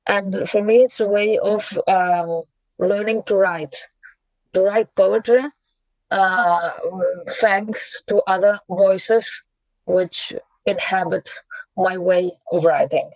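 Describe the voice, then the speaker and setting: Slow, thoughtful Russian-born woman translator. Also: mid-range voice, steady tone, no room sound, lo-fi sound. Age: around 40. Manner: thoughtful, hesitant, many pauses and fillers, soft laughs. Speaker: poet and translator, interview guest. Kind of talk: reflective interview answers.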